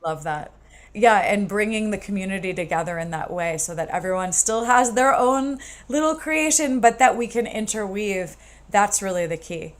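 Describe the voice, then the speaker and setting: A woman in casual talk, effusive and gushing, who trails off softly at the ends of her thoughts. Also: mid-range voice, animated, moderate pace. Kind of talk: heartfelt casual chat.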